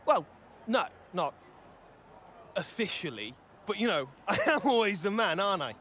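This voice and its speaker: High-pitched cheeky young English footballer. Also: male voice, sing-song, normal speed, a bit bright, some chatter behind, slight background noise. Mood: cocky. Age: 20s. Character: cheeky, cocky young male footballer, good-natured underneath. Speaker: professional footballer. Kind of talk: video game cutscene acting.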